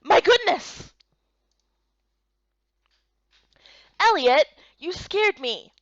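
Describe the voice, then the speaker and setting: A woman playing an earnest, chatty young heroine in a fantasy game, speaking in an expressive, exclaiming way. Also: extremely high pitch, takes it slowly, no room sound, highs cut off, pitched above the performer's own voice, exaggerated voice. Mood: scolding, startled.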